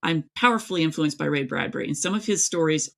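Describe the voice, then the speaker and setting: A woman's voice: sits low, animated, fast, creaky, slight background noise. A middle-aged woman from East Tennessee, an author talking casually and emphatically as an interview guest, telling things in a rambling way. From East Tennessee, United States. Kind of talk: casual, chatty interview talk.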